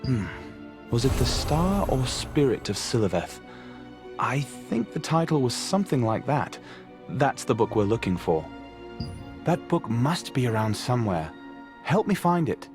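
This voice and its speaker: An actor playing an earnest, studious mage investigator in a video game, speaking in a thoughtful, articulate way. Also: male voice, medium pitch, big pitch swings, a little slow, over music.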